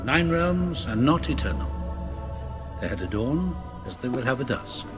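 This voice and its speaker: An actor playing an old king of the gods in a film, making grave, measured pronouncements. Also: medium pitch, big pitch swings, music in the background, lightly acted. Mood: solemn. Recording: film clip with score behind.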